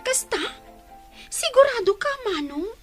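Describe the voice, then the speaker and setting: A woman's voice: very high voice, big pitch swings, unhurried, fairly bright tone, heavily acted. A woman playing an eager, grateful, respectful younger sister in an Ilocano drama. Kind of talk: Ilocano audio drama acting. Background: music.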